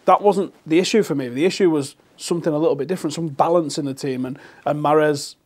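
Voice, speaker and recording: Fast-talking opinionated man. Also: fairly high pitch. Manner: quick, opinionated. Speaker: panelist, football fan. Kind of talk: casual chat.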